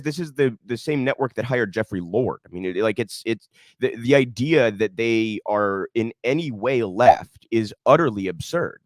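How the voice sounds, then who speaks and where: A man with a stuffy, congested voice hosts casual political commentary. His tone is sarcastic and conversational, full of ums. His voice sits at a middle pitch and is recorded close and dry. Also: no room sound. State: stuffed up from a cold. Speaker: host of a political commentary show.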